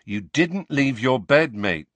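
Audiobook teen boy, done by a man: matey, plain-spoken, casual, higher pitch, lively delivery. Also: slow pace, no room sound. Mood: frank, reassuring.

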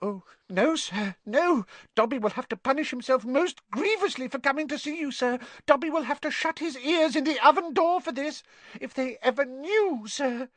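A reader voicing a small male house elf in a storybook, high-pitched and squeaky, earnest, deferential and easily distraught. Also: expressive, moderate pace, a bit bright, dry recording. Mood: anxious, servile. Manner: deferential, speaks of self in third person.

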